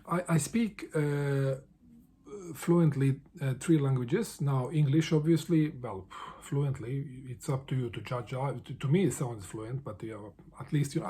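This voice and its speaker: Mellow, halting man. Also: medium pitch, slight background noise. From Bosnia. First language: Bosnian. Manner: halting, with frequent restarts and repeated words. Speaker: book vlogger. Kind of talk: casual answers to a book tag.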